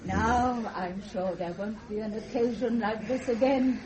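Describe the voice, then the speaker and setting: An actress playing an imperious, sentimental elderly queen with an upper-class English accent, stately and deliberate. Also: on the high side, fairly even intonation, a crowd in the background, lightly acted, poor audio. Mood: concerned, regal. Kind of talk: 1970s British period drama acting.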